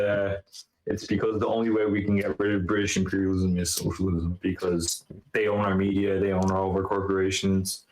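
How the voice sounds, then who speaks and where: Irish guy, super low monotone voice, rambly casual debate talk, echoey room. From Ireland. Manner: rambling, heavy filler words. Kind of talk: casual online debate chat. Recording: roomy echoey sound.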